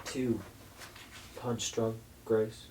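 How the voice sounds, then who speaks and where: A man's voice: fairly low pitch, level tone, extremely slow, a little mellow. A man playing a restless, evasive but loyal teenage brother in a radio play. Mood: subdued. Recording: roomy echo, faint background hiss.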